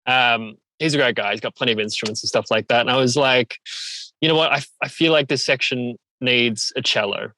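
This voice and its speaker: Bright-voiced man chatting as interview guest. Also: medium pitch, brisk. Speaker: interview guest, singer-songwriter. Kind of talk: casual chat.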